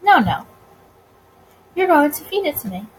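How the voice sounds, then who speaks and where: Woman voicing a suave, teasing gentleman, noisy recording. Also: high voice, very animated, a little slow, pitched above the performer's own voice. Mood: teasing, seductive. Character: suave teasing gentleman bootlegger, adult man, seductive and confident. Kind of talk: visual novel character voice.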